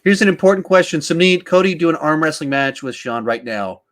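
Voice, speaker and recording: A man's voice: on the high side, animated, fairly quick, a bit bright. A man hosting a podcast, casually discussing films and reading out viewer questions in an emphatic, conversational way, thinking aloud as he goes. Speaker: podcast host.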